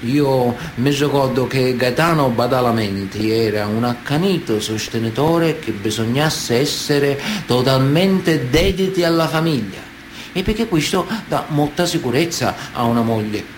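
A man's voice: medium pitch, unhurried, slight background noise, natural voice. A man acting a Sicilian mafia man of honour in a radio theatre monologue, earnest and matter-of-fact, with a Sicilian accent.

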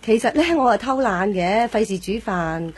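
A woman's voice: mid-range voice, moderate pace, darkish tone, slight background noise, close to an everyday voice. A woman with a Hong Kong Cantonese accent playing a homely, hospitable mother in a radio drama, chatty and coaxing. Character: homely, hospitable mother hosting a family dinner. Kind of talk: naturalistic radio drama acting.

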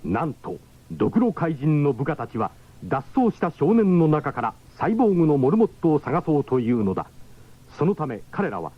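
A man's voice: on the high side, big pitch swings, normal speed, breathy edge. A man narrating a 1970s Japanese radio drama in a dramatic scripted style. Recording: muffled 1970s radio recording, faint noise.

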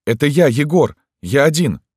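A man's voice: on the low side, big pitch swings, normal speed, darkish tone, no room sound, studio quality, voice pushed up. A man voicing an earnest, anxious young man in an audiobook, speaking with concern. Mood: urgent.

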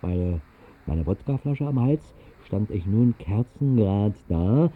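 An actor playing a tipsy, whimsical night wanderer in a 1960s radio drama monologue, speaking in a halting, theatrical, mock-pompous way. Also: male voice, on the low side, expressive, husky, full character voice. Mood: excited, whimsical. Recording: muffled narrow-band 1960s radio recording.

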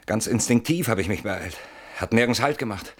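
A frightened, suspicious man in a radio drama, with a very deep, husky voice. He talks in a hushed but agitated, insistent manner, as if someone were listening. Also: lively intonation, normal speed. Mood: anxious, agitated. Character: frightened, suspicious man hiding from a stalker at night. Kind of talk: German radio play acting.

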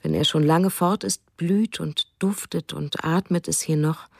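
A woman's voice: low-pitched, steady tone, measured pace, gravelly, breathy edge, no echo, clean studio sound, heavily acted. An actress reading the diary of a wistful German writer and mother for a radio drama.

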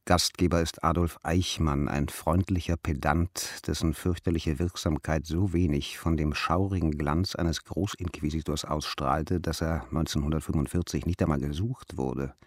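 A man's voice: very deep voice, fairly even intonation, moderate pace, hardly any pauses, no room sound, studio quality. A man giving a scripted literary narration.

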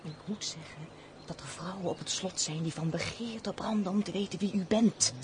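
An actress playing a pert, haughty castle servant in a radio play, chatty and sharp-tongued in a theatrical way. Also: female voice, lowish voice, moderate pace, noisy background, natural voice. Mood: indignant, taunting. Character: Lotje, pert, haughty female castle servant, easily offended. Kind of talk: Dutch radio play acting.

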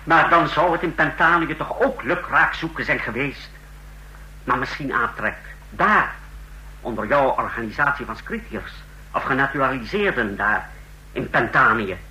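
A man's voice: mid-range voice, animated, moderate pace, sharp tone, low-quality recording. An actor playing a hesitant secret police chief in a radio play, deferential to his ruler. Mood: defensive.

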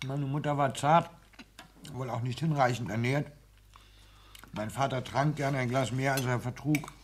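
Actor playing a timid, frail, earnest lodger. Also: male voice, mid-range voice, steady tone, a little slow, close to an everyday voice. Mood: earnest, meek. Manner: meek, hesitant, formal. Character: timid, frail, earnest man lodging, secretly lovestruck. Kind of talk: 1960s German radio drama acting. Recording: hissy 1960s radio play recording.

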